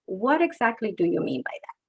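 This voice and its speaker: A woman's voice: medium pitch, sing-song, fairly quick, creaky, slightly rough, dull audio. A woman hosting a webinar, reading introductions and asking her guest questions in a friendly, scripted way. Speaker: webinar host.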